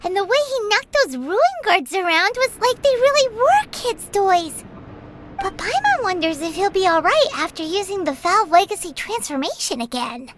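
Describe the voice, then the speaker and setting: A woman voicing a tiny, cheeky fairy girl companion in a video game, squeaky and fretful. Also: sing-song, moderate pace, noisy background. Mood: amazed, concerned. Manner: squeaky, fretful, refers to herself by name. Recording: loud music behind the voice.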